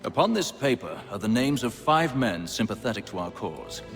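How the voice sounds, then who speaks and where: Composed order leader, formal, courteous, lively intonation, game cutscene, music behind. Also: male voice, fairly low pitch, moderate pace. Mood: measured, formal. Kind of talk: video game cutscene acting.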